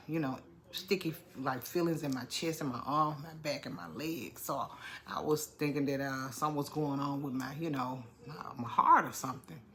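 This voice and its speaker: A woman's voice: extremely low pitch, fairly bright tone. A middle-aged woman with a congested chest, chatting casually to her viewers, repeating herself and calling them "y'all". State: congested, under the weather. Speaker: vlogger. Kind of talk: casual vlog talk to viewers.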